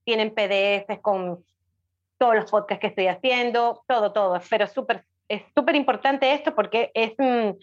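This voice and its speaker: Mujer de voz aguda, sonido algo apagado. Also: female voice, fairly high pitch, moderate pace, highs cut off. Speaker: podcast guest, audiobook creator and writing teacher. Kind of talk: casual chat.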